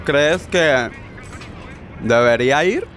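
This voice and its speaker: A hesitant cop in a radio play, a bit high and very slow, with lively intonation. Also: male voice, faint music behind. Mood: unsure. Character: hesitant, indifferent young policeman chatting idly. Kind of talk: radio drama dialogue.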